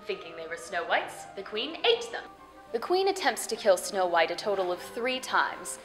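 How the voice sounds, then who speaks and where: A woman's voice: medium pitch, big pitch swings, moderate pace, a bit bright, soft background music. A woman hosting and narrating fairy tales, addressing viewers in a playful, theatrical way. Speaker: host of a fairy tale web show. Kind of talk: scripted storytelling narration to viewers.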